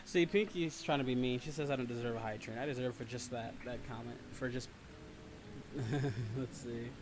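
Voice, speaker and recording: A man's voice: mid-range voice, steady tone, normal speed. A man hosting a casual livestream, chatting with viewers in a rambling, repetitive way with teasing complaints. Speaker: livestream host. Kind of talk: casual livestream chat, reading and answering viewer comments.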